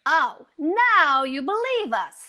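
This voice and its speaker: An actress playing a scheming, teasing American housewife in a theatrical 1940s radio sitcom style. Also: female voice, high-pitched, sing-song, slow pace, bright, forceful tone, exaggerated voice. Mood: smug.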